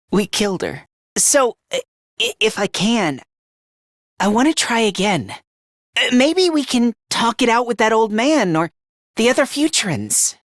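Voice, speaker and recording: A man voicing a timid, self-loathing young male student in an anime video game, with a youthful, high voice and a meek, apologetic, hesitant manner. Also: a bit bright, no room sound, clean studio sound, exaggerated voice. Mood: dejected, remorseful. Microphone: close studio mic.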